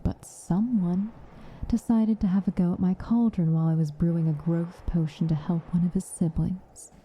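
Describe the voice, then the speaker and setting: A woman playing a stern but caring witch in an ASMR roleplay, speaking softly and with measure, dryly commanding and often slipping into a whisper. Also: on the low side, slightly rough. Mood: dry scolding. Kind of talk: ASMR roleplay, intimate acted monologue.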